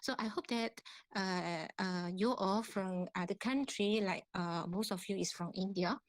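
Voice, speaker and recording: A woman with a Malaysian accent lecturing at an online workshop as an academic, in a halting way, often repeating and rephrasing herself. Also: mid-range voice. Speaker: academic researcher, guest lecturer.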